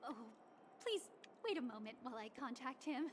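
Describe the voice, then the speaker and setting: An actress playing a polite, rule-bound hotel receptionist in a video game, speaking in a formal, courteous way. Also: female voice, sits high, sing-song, moderate pace, slight background noise. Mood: flustered.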